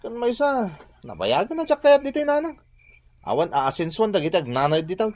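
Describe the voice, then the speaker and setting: A man's voice: high-pitched, very animated, moderate pace, a little mellow. An actor playing an ambitious, proud young man in a radio drama, speaking with an Ilocano accent. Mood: defiant, scornful. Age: young adult. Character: ambitious, proud young son scorning his poor village.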